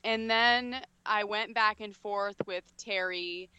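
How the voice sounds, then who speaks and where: A woman's voice: mid-range voice, fairly even intonation, takes it slowly, sharp tone, clean tone, no room sound, highs cut off. A woman, an actress guest, telling in upbeat, chatty talk how she got a film role. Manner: upbeat, chatty, many fillers. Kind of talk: casual interview storytelling.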